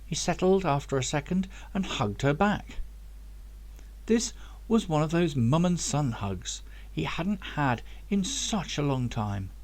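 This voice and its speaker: Male author-narrator, lively swinging intonation, slightly high voice, scripted story reading. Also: unhurried. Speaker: author reading own novel.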